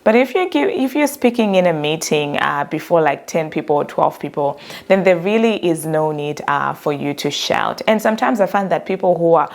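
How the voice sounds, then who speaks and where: Woman career coach, kinda low voice, flat monotone, direct tips straight to camera. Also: normal speed, creaky. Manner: self-described monotone, earnest and direct. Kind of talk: motivational talk to camera.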